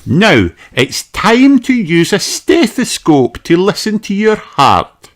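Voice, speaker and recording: Man as a high, cheery lady bear doctor. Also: huge pitch swings, slow pace, heavily acted. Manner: bright, encouraging, explaining gently to a child. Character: cheery, encouraging female polar bear doctor. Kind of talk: children's storybook read-aloud.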